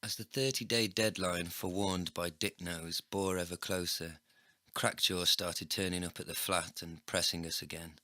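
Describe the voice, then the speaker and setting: A man's voice: sits low, steady tone, normal speed, sharp tone, no room sound, clean studio sound. A man delivering scripted first-person narration for a comedy story, speaking in character as the narrator.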